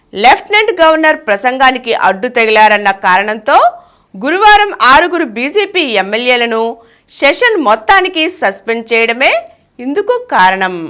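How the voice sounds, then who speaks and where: A woman reading a news bulletin, with measured newsreader delivery and emphasis on each headline. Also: high voice, bright, forceful tone. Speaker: news reader.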